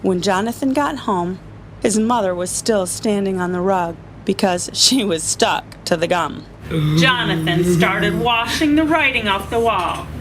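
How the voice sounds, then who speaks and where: A woman reading a children's story aloud to a group, with expressive, emphatic delivery. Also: medium pitch, a little slow, a little hiss or hum.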